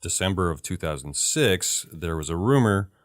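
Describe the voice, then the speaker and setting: A man co-hosting a casual movie podcast, adding trivia in a halting way with many ums. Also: low-pitched, animated. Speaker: podcast co-host.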